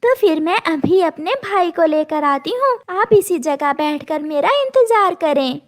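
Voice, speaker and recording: A woman plays a clever little girl bird from a children's cartoon. The voice is very high and swings up and down, yet the words come slowly, with a polite, earnest, childlike manner. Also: animated. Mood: polite, wary.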